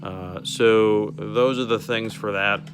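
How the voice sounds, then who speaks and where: A man with a fairly low voice walks a customer through a piece of equipment. His delivery is informal and explanatory, going step by step. Faint music plays behind him. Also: fairly even intonation, slow pace. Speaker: car radio restorer demonstrating a converted radio. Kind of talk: informal product demonstration to a customer.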